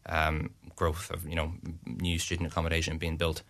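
A young Irish man with an extremely deep voice that has a gravelly fry. He answers questions in a halting way, restarting his sentences and using lots of fillers. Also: monotone, fairly bright tone. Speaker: student union representative. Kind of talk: radio interview answers.

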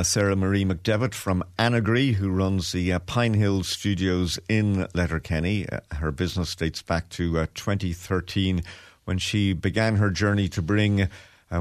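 Deep-voiced man reading local news. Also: no echo, clean studio sound. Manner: steady, informative, frequent "uh" pauses. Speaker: business segment presenter. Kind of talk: radio chat, reading news items. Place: radio studio.

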